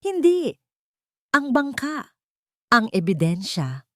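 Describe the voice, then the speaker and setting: A woman's voice: fairly high pitch, huge pitch swings, slow, no echo, pitched above the performer's own voice, exaggerated voice. A woman acts out a fierce wronged wife from a melodramatic audio story. She is bitter and defiant, with emphatic delivery and sweeping intonation in a close, dry sound. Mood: anguished.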